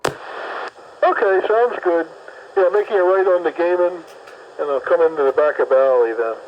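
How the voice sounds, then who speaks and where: A man's voice: on the high side, moderate pace. A man talking on a CB radio while driving his car, upbeat and chatty, describing his route. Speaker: mobile CB radio operator. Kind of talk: casual CB radio chat. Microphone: mobile CB radio handset. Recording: muffled CB radio with static.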